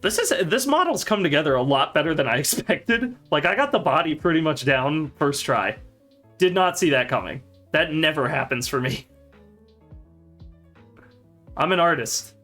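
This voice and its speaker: Male streamer host, slightly high and animated, easygoing chatter over faint music. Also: normal speed. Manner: rambling, laughs a lot, swears casually. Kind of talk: casual chat while 3D modeling.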